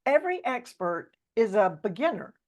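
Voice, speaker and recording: A woman's voice: a little high, very animated, normal speed, a little mellow. A woman hosting a podcast interview, teasing her guest playfully. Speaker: podcast host.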